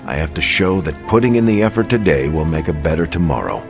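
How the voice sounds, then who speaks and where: A man playing a modest veteran soldier superhero in a video game, speaking in a measured, sincere, plain-spoken way with music behind him. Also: very deep voice, lively intonation. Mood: earnest, modest. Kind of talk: video game cutscene acting. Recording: background music under the voice.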